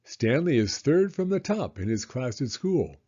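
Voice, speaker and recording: Storybook dad, read by a male narrator: proud and polite, emphatic, with animated pitch swings. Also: measured pace, husky, lo-fi sound. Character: proud, polite father of two boys.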